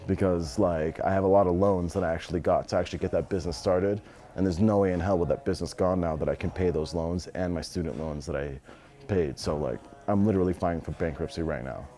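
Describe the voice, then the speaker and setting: Male, 20s, American, very low mellow voice, blunt and slangy interviewee. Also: fast talker. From Los Angeles, United States. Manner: blunt, slangy, swearing freely. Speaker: interviewee, business owner. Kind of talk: casual interview answers.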